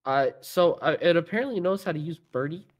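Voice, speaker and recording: A man's voice: fairly high pitch, animated, brisk. A man playing a police officer in casual improvised online role-play, speaking in a hesitant, bemused way.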